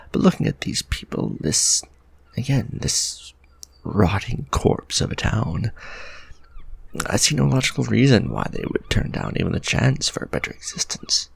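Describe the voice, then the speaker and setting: A man's voice: medium pitch, no echo, exaggerated voice. A man voicing a refined, possessive male vampire lord in an ASMR romantic roleplay, formal and musing as he tenderly addresses the listener. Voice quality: gravelly, husky, soft-spoken.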